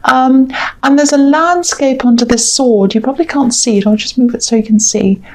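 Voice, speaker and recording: A woman giving a tarot reading to the camera. Her voice is croaky and hoarse, and she talks in a musing, hesitant way with many ums and self-corrections. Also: high voice, normal speed, darkish tone. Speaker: tarot reader.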